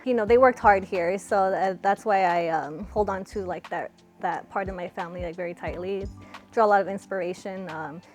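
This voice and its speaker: A young woman from California answering interview questions in a relaxed, chatty way, with lots of fillers. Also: mid-range voice, moderate pace, faint music behind. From California, USA. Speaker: interviewee, fighter.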